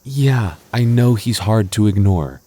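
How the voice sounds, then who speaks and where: Young male lover played by a voice actor, deep and soft-toned, possessive yet devoted, gets defensive, intimate roleplay. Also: expressive, slow. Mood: annoyed, possessive. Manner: stammering, defensive, teasing asides. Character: jealous, protective young boyfriend, defensive but loving. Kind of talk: intimate boyfriend audio roleplay.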